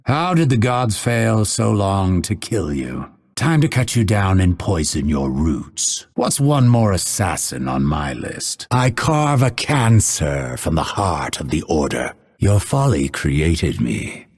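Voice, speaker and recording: An actor playing a proud, cold, vengeful assassin in a video game, with a husky voice and measured, haughty, menacing delivery. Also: male voice, low voice, big pitch swings, a little mellow, full character voice. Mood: cold contempt. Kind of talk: scripted game voice lines, theatrical delivery.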